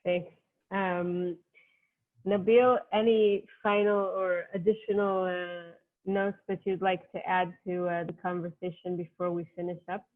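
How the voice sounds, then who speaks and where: A woman with a lowish, flat voice moderates the panel in a brisk, friendly way. The sound is thin and phone-like.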